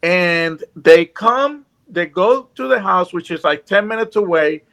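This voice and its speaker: A man's voice: sits high, a little slow, creaky, a bit bright. A man from Puerto Rico with a Puerto Rican accent, a guest talking earnestly over a video call and often restarting his sentences. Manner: earnest, rambling, frequent restarts. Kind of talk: casual chat.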